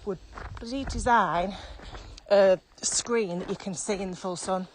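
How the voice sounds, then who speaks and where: A woman's voice: medium pitch, measured pace. A woman co-hosting a podcast, chatting in an informal, reflective, conversational way. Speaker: podcast co-host. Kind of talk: casual podcast chat. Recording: clearly noisy background with clicks.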